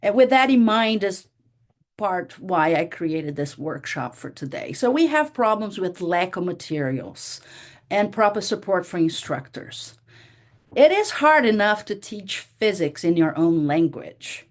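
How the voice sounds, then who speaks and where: A woman's voice: lowish voice, very animated, measured pace. Portuguese-native woman running a teacher workshop, casual, lots of restarts and fillers. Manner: conversational, many "you know" fillers and restarts. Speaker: workshop presenter. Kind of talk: conference workshop talk.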